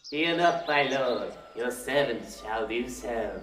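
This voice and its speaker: A man's voice: medium pitch, expressive, takes it slowly, noisy background. Man as echoing, obedient forest sprite. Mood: eager, obedient. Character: obedient forest sprite serving a fairy lord. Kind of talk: classical Shakespearean verse acting. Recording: distant, echoing, long reverb.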